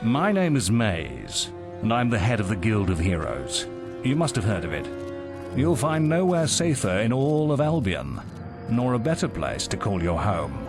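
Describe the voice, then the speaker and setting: A grave, commanding guild leader, low-voiced. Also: male voice, huge pitch swings. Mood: grave, assured. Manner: measured, terse, authoritative. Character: grave, commanding male head of a heroes' guild, rescuing a boy. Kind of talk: video game voice acting. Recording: music behind the voice.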